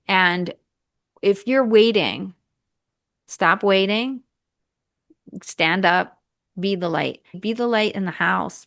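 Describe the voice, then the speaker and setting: Encouraging woman coach with a gravelly edge. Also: mid-range voice, dry recording, clean studio sound. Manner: earnest, encouraging, repetitive exhortations. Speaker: podcast host and marriage coach. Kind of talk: reflective coaching talk.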